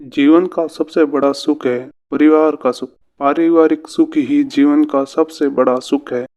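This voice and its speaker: A man playing an earnest, brave and curious young village son in a cartoon fairy tale, with deliberate storybook delivery. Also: on the high side, fairly even intonation, darkish tone, natural voice. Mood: earnest, resolute.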